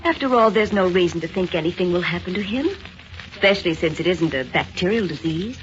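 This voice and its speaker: An actress playing a doctor's secretary in an old radio drama, concerned and politely businesslike. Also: female voice, fairly low pitch, lively intonation, fairly quick, natural voice. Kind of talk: 1930s radio acting. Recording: dull old radio recording.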